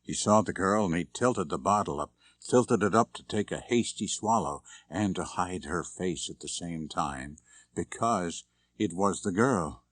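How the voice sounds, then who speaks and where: A man reading a suspense novel as an audiobook narrator, measured and dramatic. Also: low voice, animated, no echo, studio quality.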